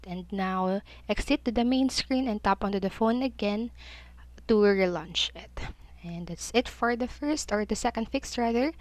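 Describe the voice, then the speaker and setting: A woman's voice: medium pitch, no echo. Woman narrating a how-to, dry close sound. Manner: instructional, step-by-step, with stumbles over words. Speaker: tutorial host. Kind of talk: scripted how-to voice-over narration.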